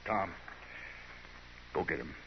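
Hard American frontier patriarch, radio drama. Very low, slow, heavy and gruff, muffled vintage sound. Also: male voice, lively intonation, full character voice. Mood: grim, grieving. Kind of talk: 1950s radio drama acting. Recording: muffled, noisy 1950s radio recording.

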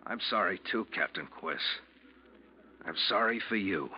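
A man playing a bitter, wronged ship's mate with a husky voice in a 1940s radio drama. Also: low voice, expressive, a little slow, exaggerated voice. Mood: bitter, vengeful. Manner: bitter, accusing. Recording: muffled old 1940s radio recording.

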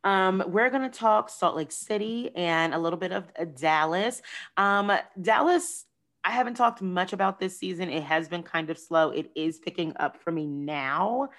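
A woman hosting a podcast in a casual, playful, animated way, calling listeners "y'all" and "honey.". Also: lowish voice, moderate pace. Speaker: podcast host. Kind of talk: casual chat.

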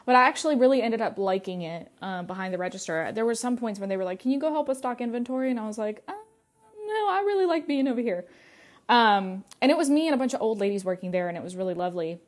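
A woman's voice: fairly high pitch, lively intonation, fast. A young adult woman hosting a podcast, talking casually about her own life, full of "like" and "um". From United States. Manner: chatty, many fillers and "like". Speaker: podcast host. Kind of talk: casual personal monologue.

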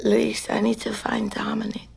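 High-pitched female ex-convict, bitter, streetwise, profane, emphatic slang, naturalistic audio drama. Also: monotone, moderate pace, gravelly, darkish tone, breathy edge, full character voice. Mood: anxious. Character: bitter, foul-mouthed woman just out of sixteen years in prison.

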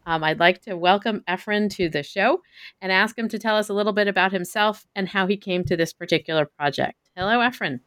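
A woman hosting a podcast, giving a measured, formal introduction of her guest. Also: medium pitch, fairly bright tone, no echo. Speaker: podcast host. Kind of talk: scripted host introduction.

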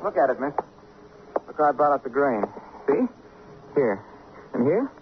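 An American actor playing an edgy, wounded cabinetmaker in a radio drama, earnest, halting and volatile. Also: male voice, mid-range voice, very animated, natural voice. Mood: eager, nervous. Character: edgy, wounded working-class male cabinetmaker, protective brother. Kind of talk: 1950s radio drama acting. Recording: muffled old radio recording with hiss.

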